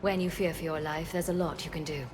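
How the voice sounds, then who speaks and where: An actress playing a former research scientist leading refugees, speaking in a measured, earnest way. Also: female voice, sits low, fairly even intonation, background noise, lightly acted. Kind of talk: video game voice acting.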